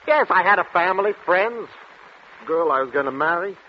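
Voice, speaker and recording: An actor playing a tormented male patient cursed to blurt out truths, anguished and halting in 1940s radio style. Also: sits high, animated. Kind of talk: 1940s radio drama acting. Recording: muffled, noisy 1940s radio recording.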